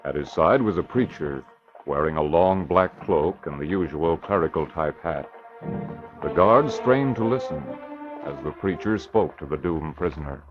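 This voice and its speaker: A man's voice: rumbling low, lively intonation, normal speed. Male American announcer for vintage radio crime serial; emphatic delivery, lo-fi sound over music. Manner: emphatic, theatrical. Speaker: radio show announcer and narrator. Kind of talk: dramatic 1940s radio serial narration. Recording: dull old radio recording, music behind.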